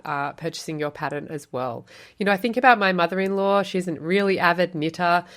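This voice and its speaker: An Australian woman hosting a podcast, explaining quilt pattern writing in a chatty, encouraging way with lots of rhetorical questions. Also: lowish voice. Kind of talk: solo podcast teaching.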